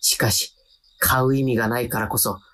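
A man's voice: medium pitch, steady tone, moderate pace, bright, forceful tone, a little hiss or hum, natural voice. An actor playing a timid young man who narrates his own radio drama with wry, self-deprecating asides. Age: young adult. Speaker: narrator-protagonist. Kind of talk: radio drama inner monologue.

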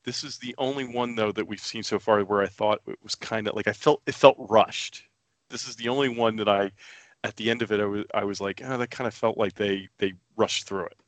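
A man with a low, hoarse voice chats casually on a podcast, rough from a recent loss of voice. He talks in halting starts and restarts. The sound is close and dry. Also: fairly even intonation, dry recording. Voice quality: raspy. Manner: hesitant, restarts often. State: hoarse, recovering from losing his voice. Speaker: podcast co-host. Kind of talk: casual chat.

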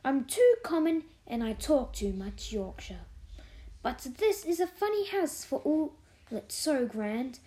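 A woman's voice: sits high, very animated, measured pace, warm tone. A woman voicing a good-humoured, plain-spoken young housemaid who talks in broad Yorkshire dialect, for a storybook. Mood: cheerful, chatty.

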